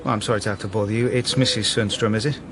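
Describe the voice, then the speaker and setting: An actor playing a courteous, quietly insistent British detective inspector in a radio drama. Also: male voice, on the low side, fairly even intonation, noisy background, lightly acted. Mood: polite, insistent. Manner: courteous, measured, firm. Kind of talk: naturalistic radio drama acting.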